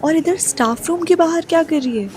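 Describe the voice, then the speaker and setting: A woman's voice: extremely high pitch, fairly quick, darkish tone, a little hiss or hum. A woman playing an anxious, scolding young college girl and protective best friend in an audio drama, exclamatory and fretful. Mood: bewildered, worried. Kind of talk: Hindi-English audio drama acting.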